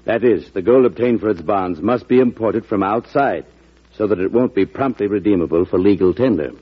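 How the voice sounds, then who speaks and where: An actor playing an assured, hard-bargaining banker who speaks precisely and formally in an old radio drama. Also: male voice, on the low side, fairly quick, slightly rough. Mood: insistent. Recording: muffled vintage radio recording.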